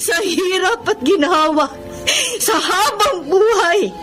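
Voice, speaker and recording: High-voiced betrayed wife, melodramatic. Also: female voice, expressive, slow pace, full character voice. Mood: hurt, pleading. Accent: Filipino. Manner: melodramatic, tearful, accusing delivery. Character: betrayed wife confronting her cheating husband, wounded and furious. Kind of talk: Filipino radio drama acting. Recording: dramatic music bed behind the voice.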